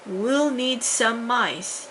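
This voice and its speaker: A woman voicing a small, kindly fairy godmother in a storybook, giving brisk, cheerful instructions. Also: fairly high pitch, a bit bright, a little hiss or hum.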